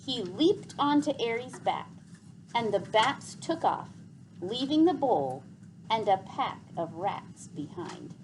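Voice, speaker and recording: Slow, expressive woman reading a storybook. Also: on the high side, slight background noise, poor audio. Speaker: read-aloud narrator of a children's novel. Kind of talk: expressive scripted storybook narration.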